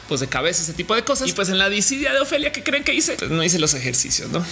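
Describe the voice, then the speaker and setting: Bright-voiced chatty woman vlogger. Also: on the low side, slight background noise. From Colombia. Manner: expressive, chatty, playful asides. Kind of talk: candid personal vlog monologue. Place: indoor home studio room with shelves.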